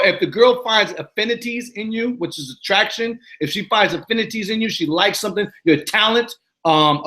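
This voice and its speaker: A man's voice: high-pitched, a bit bright. A man with a New York accent hosting a live motivational Q and A, talking emphatically and repeating himself. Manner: emphatic, repetitive, preachy, keeps asking "you know what I'm saying". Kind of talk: live-stream Q and A monologue. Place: living in Arizona.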